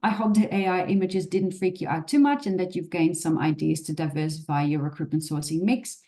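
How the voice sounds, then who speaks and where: A woman from the Netherlands with a Dutch accent, presenting a webinar over a video call in a clear, instructive way. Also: lowish voice, brisk, warm tone.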